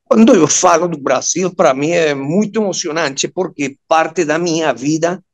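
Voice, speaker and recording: A man in his early fifties with a Venezuelan Spanish accent talks as an interview guest. His voice sits a little high and has a clear creak. He tells his story haltingly, pausing to find the right word. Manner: halting, searching for words, often checking with "ok?". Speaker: guest, surgeon and amateur radio operator.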